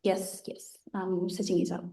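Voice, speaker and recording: A woman's voice: fairly low pitch, little pitch movement, measured pace, gravelly, warm tone. A young woman giving a student project presentation, explaining things a little hesitantly over an echoing online call. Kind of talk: semi-prepared project presentation.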